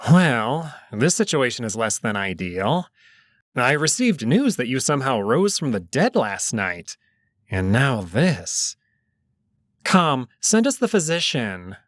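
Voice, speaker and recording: A man voicing a smug, composed jackal nobleman with a formal, measured way of talking. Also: mid-range voice, big pitch swings, no room sound, studio quality. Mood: weary annoyance.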